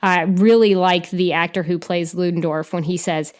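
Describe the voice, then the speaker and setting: A woman's voice: lowish voice, fairly even intonation, normal speed. A woman co-hosting a podcast, discussing film casually and somewhat hesitantly as she thinks aloud. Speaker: podcast co-host.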